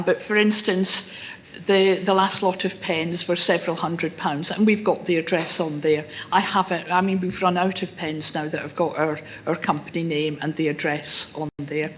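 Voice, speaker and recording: Woman with a rough voice addressing a council. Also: fairly low pitch, steady tone, normal speed, fairly bright tone, slight background noise. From incomer to the town. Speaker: member of the public addressing council. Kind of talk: formal address to a council meeting.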